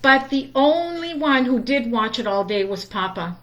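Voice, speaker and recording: A woman reading a children's story aloud in a deliberate, expressive storytime manner. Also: a little high, highs cut off, a little hiss or hum. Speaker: storytime reader.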